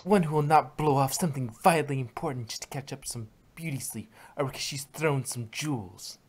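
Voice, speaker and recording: A woman's voice: very deep voice, fairly quick, a little mellow, breathy edge. A woman voicing a stern young unicorn mare, cold and accusing, in a fan reading.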